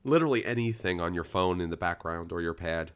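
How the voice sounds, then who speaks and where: A man's voice: sits low, animated, fast talker, clean tone. A man co-hosting a podcast, talking in a casual, chatty and enthusiastic way with frequent restarts. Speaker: podcast co-host. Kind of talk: casual chat.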